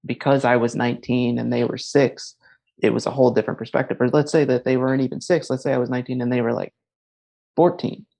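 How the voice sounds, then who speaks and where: A man in his thirties chatting casually as a podcast co-host, his voice a little gravelly with some fry at the ends of phrases. He rambles, circling back and restarting his thoughts, and keeps them moving with "like" and "you know.". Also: mid-range voice, fairly even intonation, fast, warm tone. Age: 30s. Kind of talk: casual chat.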